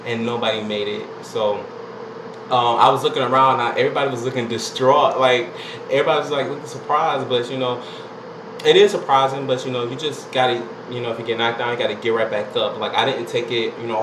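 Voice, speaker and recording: A young man tells his story in a casual, rambling vlog style, leaning on "you know" and "like" as he goes. His voice sits at an ordinary pitch for a man. The room is echoey, and a steady air conditioner hum runs clearly underneath. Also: fairly quick. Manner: laid-back, chatty, lots of "you know" and "like". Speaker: vlogger.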